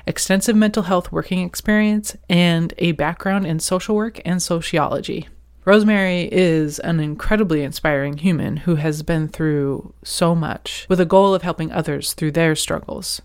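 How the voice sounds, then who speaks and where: A podcast host from Washington State reading a scripted introduction and opening an interview in a measured way. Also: medium pitch.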